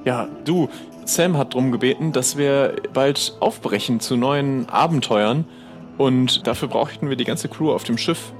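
A man's voice: medium pitch, measured pace, soft background music. A man improvising casual, tentative dialogue as a player in an audio role-play, often asking questions.

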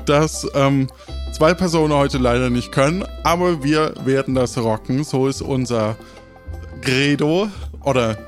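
A man's voice: mid-range voice, a little slow. Male game master with faint music behind. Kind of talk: narrating an improvised audio adventure game.

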